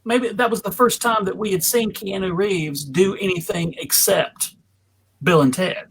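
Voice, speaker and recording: A panelist with a middling pitch talks casually about films. The delivery is halting and exploratory, as if working out each thought aloud. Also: slight background noise, rough recording.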